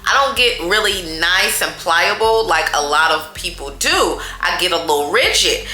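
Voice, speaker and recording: A loud, chatty woman tells a story straight to her viewers. Her voice is bright and forceful, and it sounds roomy and echoey. Faint music plays under her. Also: on the low side, moderate pace. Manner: chatty, animated, addresses viewers as y'all. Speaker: storytime vlogger.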